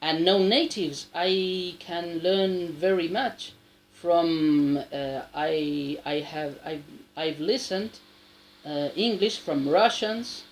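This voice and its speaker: Slow, halting man. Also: a little high, a little hiss or hum. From South America. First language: Spanish. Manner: halting, with many restarts and a frequent tag question. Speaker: English learner sharing advice. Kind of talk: casual spoken monologue.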